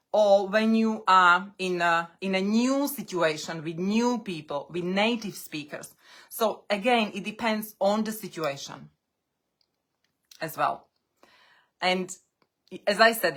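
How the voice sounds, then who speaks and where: A woman coaching English learners on a live stream. English is her second language, and she speaks deliberately in short phrases with pauses. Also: mid-range voice, a bit bright. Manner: non-native English speaker.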